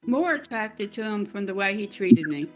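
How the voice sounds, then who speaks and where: A middle-aged woman speaks conversationally as an interview guest, with a medium-pitched voice. Faint guitar music plays underneath as she tells her own story. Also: very animated, normal speed, lo-fi sound.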